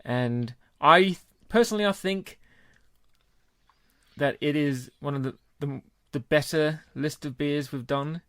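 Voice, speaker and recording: A man co-hosting a beer podcast, talking in a relaxed, casual way and cursing freely. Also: on the high side, measured pace, no room sound. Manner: relaxed, laid-back, swears casually. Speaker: podcast co-host. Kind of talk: casual chat.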